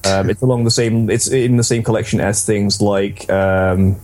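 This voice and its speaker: A man's voice: on the low side, flat delivery, normal speed, over music. A man co-hosting a casual games podcast, talking in a hesitant, rambling, self-deprecating way. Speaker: podcast co-host.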